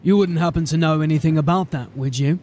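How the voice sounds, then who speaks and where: A man's voice: a little high, fast talker, a little mellow, a little hiss or hum, lightly acted. A man playing a stern male city watch sergeant who questions people in a formal, suspicious way. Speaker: police sergeant.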